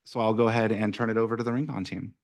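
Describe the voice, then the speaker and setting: Halting man presenting to council. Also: fairly low pitch, steady tone, vocal fry. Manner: halting, many ums and restarts. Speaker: city planning staff. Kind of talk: staff presentation at council meeting.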